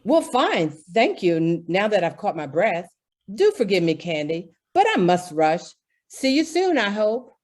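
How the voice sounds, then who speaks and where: A woman's voice: medium pitch, sing-song, measured pace, a little mellow. An actress playing a gracious, slightly flustered lady friend in a 1940s radio drama, polite and effusive.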